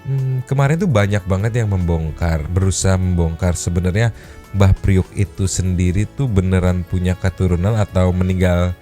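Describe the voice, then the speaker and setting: A man hosting a casual podcast, reacting to clips with animated, teasing commentary. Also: low-pitched, normal speed, faint music behind. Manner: animated, joking, teasing asides. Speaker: podcast host.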